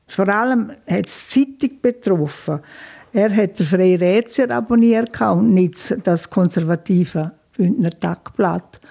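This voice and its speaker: An older woman with a Swiss German accent speaks slowly and reflectively, answering interview questions about her youth. Her voice is mellow and warm in tone, with occasional soft laughter and hesitant fillers. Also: fairly low pitch, vocal fry. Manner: thoughtful, frequent fillers, laughs softly at times. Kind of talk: reflective life-story interview.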